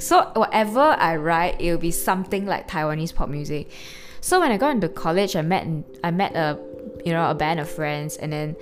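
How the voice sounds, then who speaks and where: Chatty young woman, low voice, music behind. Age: early 20s. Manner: chatty, rambling, full of fillers and restarts. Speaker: podcast host. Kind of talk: casual personal monologue. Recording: background music clearly audible.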